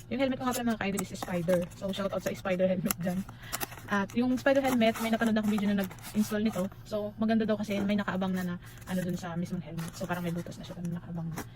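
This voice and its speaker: Fast-talking Filipino woman vlogger, noisy background. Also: medium pitch, steady tone. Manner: informal Tagalog-English code-switching. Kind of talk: casual unboxing and review chat to viewers.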